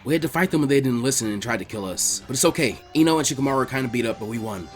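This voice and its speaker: A man's voice: mid-range voice, animated, very fast, soft background music, close to an everyday voice. A man voicing an earnest, hot-headed boy ninja who is eager to fight, in a fan fiction reading. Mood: grim.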